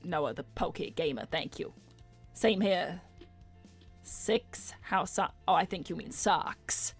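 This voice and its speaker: Suave low-voiced seductive hedgehog businesswoman played by a woman. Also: slow, breathy edge, soft background music, full character voice. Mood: smug, pleased. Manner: suave, flirtatious, coolly condescending. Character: seductive, smug female hedgehog celebrity businesswoman in red. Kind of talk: improvised in-character livestream roleplay.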